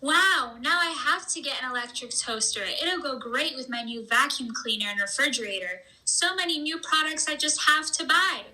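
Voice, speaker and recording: Woman doing chatty upbeat roaring twenties radio host, high sharp bright voice, music playing. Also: expressive, moderate pace, few pauses, full character voice, low-quality recording. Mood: enthusiastic. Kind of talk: scripted 1920s-style radio show banter.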